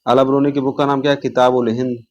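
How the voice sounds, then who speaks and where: A man's voice: mid-range voice, level tone, quick pace, a little mellow, dull audio. A man teaches an informal exam revision lesson, repeating key terms and answering his own questions.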